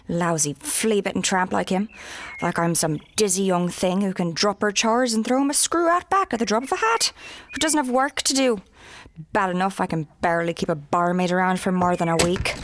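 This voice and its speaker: A woman's voice: mid-range voice, moderate pace, slight background noise, lightly acted. An actress playing a proud, weary and plain-spoken female innkeeper in a fantasy audio drama. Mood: indignant grumbling. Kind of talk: audio fiction acting.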